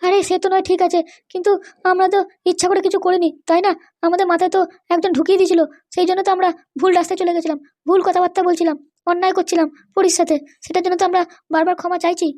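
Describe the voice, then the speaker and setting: Woman voicing a squeaky, monotone anxious cartoon parent. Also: racing. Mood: defensive, plaintive. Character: anxious, pleading older parent desperate to save a son. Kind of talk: amateur cartoon dialogue.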